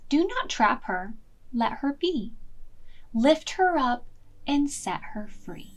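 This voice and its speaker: Female storytime host for preschoolers. Unhurried, expressive read-aloud, slightly high pitch. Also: poor audio. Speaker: children's library storytime host.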